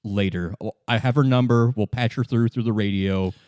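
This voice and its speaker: A man's voice: lowish voice, normal speed, darkish tone, dry recording, close to an everyday voice. A man voicing a firm, formal, businesslike police lieutenant in a tabletop game. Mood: serious. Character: dutiful, matter-of-fact male police lieutenant, professional type.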